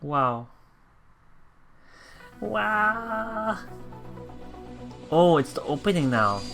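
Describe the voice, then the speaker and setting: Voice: medium pitch, sing-song, drawn-out words, fairly bright tone. Let's player reading aloud over music. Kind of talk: casual let's play commentary with read-aloud narration. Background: game music.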